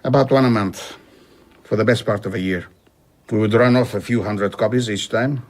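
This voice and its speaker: An actor plays a reflective middle-aged traveller in a radio drama. His voice is low and husky, and he tells his past with measured regret. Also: a little mellow, lightly acted. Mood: rueful, reflective. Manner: measured, rueful storytelling. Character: reflective older traveller recounting his rebellious past, rueful.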